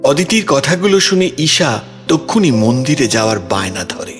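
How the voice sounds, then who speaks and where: Lively male narrator of dramatic stories. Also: medium pitch, normal speed. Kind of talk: dramatic scripted story narration. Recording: music behind the voice.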